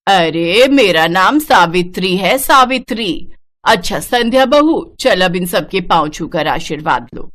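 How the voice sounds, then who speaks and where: A woman's voice: lowish voice, animated, measured pace, a bit bright. A woman voicing a bossy, disapproving middle-aged mother-in-law in a cartoon story, scolding and domineering. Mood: proud. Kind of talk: animated moral-story cartoon dialogue.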